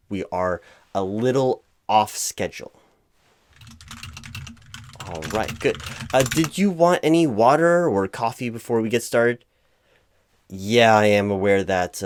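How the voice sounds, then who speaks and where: Halting, low-voiced man as flustered hiring manager. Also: no echo. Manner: halting, stammering, awkwardly formal. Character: flustered, distracted, apologetic male hiring manager. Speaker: performer playing a job interviewer. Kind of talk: one-sided comedic audio roleplay, loud spoken.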